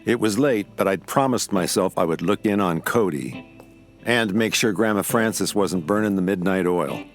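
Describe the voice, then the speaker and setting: An actor playing a laid-back, wry American lawyer and amateur sleuth in an audio drama, talking in a casual, understated way. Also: male voice, low-pitched, moderate pace, soft background music. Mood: reflective narration. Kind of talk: audio drama dialogue and narration.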